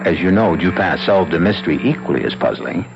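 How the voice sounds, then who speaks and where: A man with a deep voice narrates an old radio mystery in a measured, theatrical way. The recording is a little dull, with music behind him. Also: animated, creaky. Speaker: radio drama host and narrator. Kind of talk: scripted 1970s radio drama narration.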